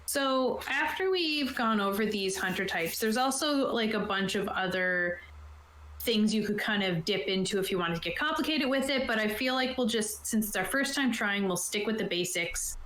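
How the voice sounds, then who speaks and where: A woman acting as game master, casually explaining rules from a book in an informal, halting way. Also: mid-range voice, fairly bright tone. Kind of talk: casual explanatory talk, reading from a rulebook. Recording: roomy, echoing sound.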